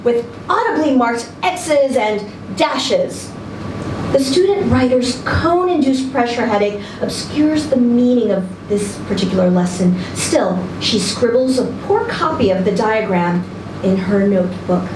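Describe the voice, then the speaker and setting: A woman's voice: a little high, expressive, measured pace. A woman reading a literary short story aloud expressively at a live event. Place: indoor social venue, live reading event. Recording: echoey noisy room, distant event video mic.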